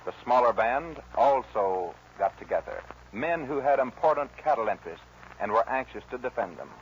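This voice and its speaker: A man's voice: medium pitch, expressive, normal speed. A man with an American accent reading scripted narration for a 1940s radio western. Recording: dull, hissy old radio recording.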